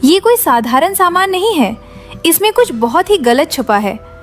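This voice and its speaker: A woman plays an anxious, shrewd village wife in a horror audio tale. Her voice is high and insistent, with soft music underneath. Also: sing-song, moderate pace. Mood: frightened. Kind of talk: Hindi audio horror story acting.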